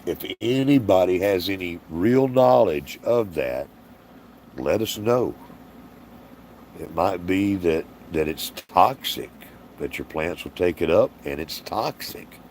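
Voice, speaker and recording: Deep, slow man hosting a livestream. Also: animated, a little mellow, slight background noise. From Alabama, US. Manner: folksy, rambling, reads out viewer comments. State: tired, strained voice. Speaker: livestream host. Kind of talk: casual livestream Q&A chat.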